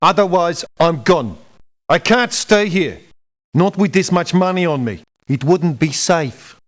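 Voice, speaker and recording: An actor voicing a proud, sardonic doctor turned mercenary in a video game, haughty and scolding. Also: male voice, fairly high pitch, lively intonation, unhurried. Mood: indignant. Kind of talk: video game character lines.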